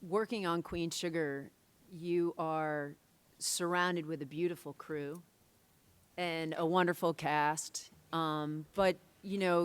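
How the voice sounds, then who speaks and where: Low smooth-voiced woman director on a panel. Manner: thoughtful, many fillers and restarts. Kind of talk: panel discussion answers. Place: convention panel hall.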